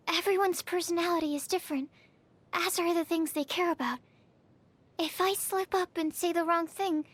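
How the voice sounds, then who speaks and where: A woman voicing a shy, bookish young female alchemist in a video game, speaking in a hesitant, polite and earnest way. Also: high voice. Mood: shy, embarrassed. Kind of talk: English video game character voice acting.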